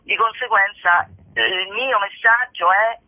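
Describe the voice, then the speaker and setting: A woman's voice: medium pitch, unhurried. A woman speaking casually in a phone interview as a performer, informal and playful, often thinking aloud. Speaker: performer and playwright, interview guest. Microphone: telephone call. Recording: tinny narrow-band telephone line.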